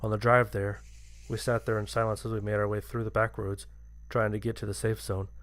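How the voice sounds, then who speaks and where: A man's voice: on the low side, little pitch movement, fairly quick, a bit bright, no echo. A man reading a scripted first-person horror story as its narrator.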